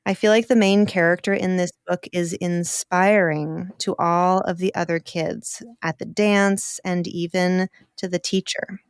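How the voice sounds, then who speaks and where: A woman hosts a podcast for children, talking slowly and with plenty of emphasis to cheer young listeners on. Her voice is smooth and clear, and the recording is close and dry, with no room sound. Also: lowish voice, steady tone, dry recording. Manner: warm encouraging, slow and emphatic. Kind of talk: enthusiastic talk to children.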